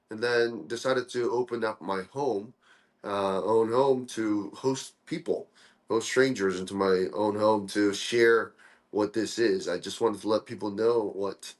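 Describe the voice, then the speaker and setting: A young man from Korea, a guest in a casual interview, talking in a relaxed, friendly way with lots of "uh" fillers. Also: fairly low pitch. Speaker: guest, coffee dripper co-founder.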